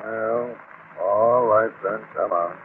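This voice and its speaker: An actor playing a stern, stubborn sea captain, gruff and commanding, in old radio drama style. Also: male voice, on the low side, slow pace. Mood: uneasy. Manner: gruff, commanding, clipped orders. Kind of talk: 1940s radio acting. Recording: scratchy, tinny old-time radio recording.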